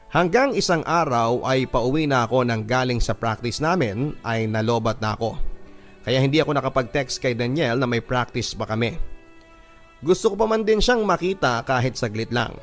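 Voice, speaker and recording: A Filipino man tells a dramatic first-person love story in a mid-range voice. His delivery is measured and emotive, like a scripted radio reading, with music playing clearly behind him. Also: medium pitch.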